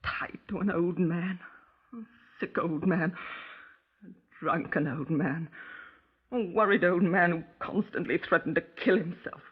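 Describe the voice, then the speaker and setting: Distraught middle-aged wife, husky voice, halting and brooding, self-pitying, muffled 1950s radio recording. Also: female voice, fairly low pitch. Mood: weary, bitter. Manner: halting, brooding, insistent, with rhetorical tag questions. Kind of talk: 1950s radio crime drama acting.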